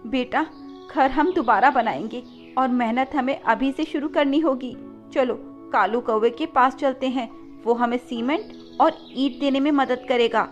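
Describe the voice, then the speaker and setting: A woman voicing an earnest, gentle mother bird who pleads for help in a cartoon. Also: high-pitched, a little slow, dull audio, faint music behind. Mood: reassuring.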